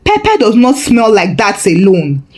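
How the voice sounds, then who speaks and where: A woman's voice: medium pitch, wildly swooping pitch, a little slow, darkish tone. A woman voicing a nosy, suspicious, bossy neighbourhood gossip in a folktale, with a probing, dramatic manner.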